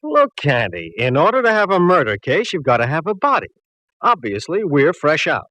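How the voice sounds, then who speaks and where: Sardonic old-time radio police inspector. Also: male voice, a little high, huge pitch swings, normal speed, bright, forceful tone, dry recording, heavily acted. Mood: wry, teasing. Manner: sarcastic, dismissive. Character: gruff, sardonic male police inspector, unbothered. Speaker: radio drama actor. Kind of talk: 1940s radio detective acting. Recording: vintage radio drama recording.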